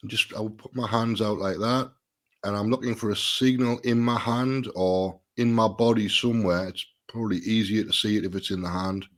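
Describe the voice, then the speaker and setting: A middle-aged man hosting a casual solo live stream, a little nasal and stuffy from a sinus problem, thinking aloud with restarts. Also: fairly bright tone. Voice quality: slightly nasal, deeper than usual.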